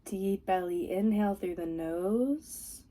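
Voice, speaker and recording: A woman with a soft-edged, relaxed tone guides a body scan meditation. Her delivery is very slow and soothing, with gentle instructions at a medium pitch. She sounds like a yoga teacher leading a practice.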